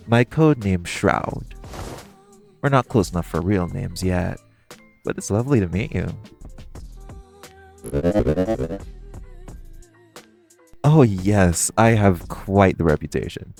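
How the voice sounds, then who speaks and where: A man's voice: low-pitched, gravelly, a little mellow, heavily acted. A man playing a flirty, teasing supervillain thief in an audio roleplay, coy and theatrical, drawing out his phrases as he talks to the listener. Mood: smug, charming.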